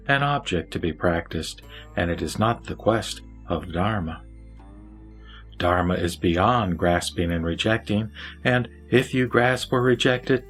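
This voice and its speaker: A man's voice: mid-range voice, fairly bright tone. A man voicing Vimalakirti, a wise lay sage teaching in a solemn, earnest way over faint music.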